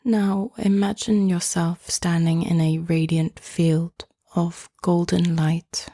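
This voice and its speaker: A woman hosting and leading a guided meditation in a soft, hushed, often half-whispered voice, with gentle and inviting phrasing. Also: fairly low pitch, little pitch movement, takes it slowly, dry recording, clean studio sound.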